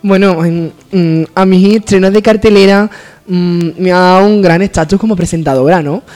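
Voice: medium pitch, moderate pace, a little mellow, soft background music, lightly acted. A man playing a ditzy, giggly female TV presenter in a parody interview, chattering airily and hesitantly. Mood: giggly, flattered.

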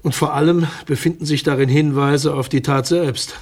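An actor playing a formal, guarded public prosecutor in a radio crime drama, officious and sceptical, with a husky voice. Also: male voice, on the high side, fairly even intonation, moderate pace, a little mellow, natural voice.

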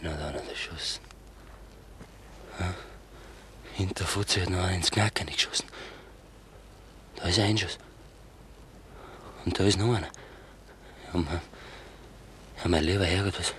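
A man's voice: sits low, unhurried, long pauses. An actor playing a rattled, simple village man in a 1950s Bavarian dialect radio play, given to muttering to himself and calling out. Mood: shocked, stammering. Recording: 1950s radio production, outdoor noise behind.

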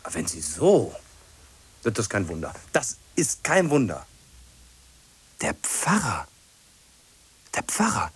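A man's voice: lowish voice, wildly swooping pitch, breathy edge, full character voice. An actor playing a hesitant, lonely, obsessive man who stumbles and repeats himself in a radio play. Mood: brooding, muttering. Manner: halting, repeats himself, muttering to himself.

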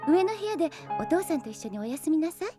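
A woman's voice: very high-pitched, sing-song, fast talker, loud music behind the voice. A woman playing a kind, protective young older sister in an anime audio drama, polite and motherly. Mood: gentle, caring.

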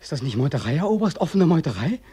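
An actor playing a haunted, sleepless young ex-sergeant in a German radio play, speaking with insistent, feverish intensity in a husky voice. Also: male voice, fairly high pitch, very animated, fast talker, dark tone, exaggerated voice. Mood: feverish, urgent. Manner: intense, urgent, insistent repetition.

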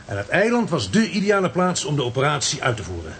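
An actor with a Netherlands Dutch accent playing an inquisitive companion piecing a mystery together in a radio play. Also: male voice, on the high side, very animated, quick pace, a little hiss or hum. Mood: curious.

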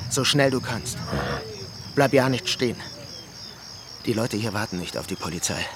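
A man playing a grim city insider in a radio drama, speaking in clipped phrases with deliberate pauses. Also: fairly low pitch, expressive, noisy background. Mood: grave warning. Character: grim male local insider warning of city's brutality.

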